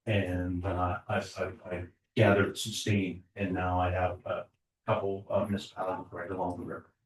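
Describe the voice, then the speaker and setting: A man serving as code enforcement officer, reporting to a borough council with a halting delivery, many filler words and repeated starts. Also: low-pitched, flat delivery. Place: municipal council chamber. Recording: echoey chamber audio from far off.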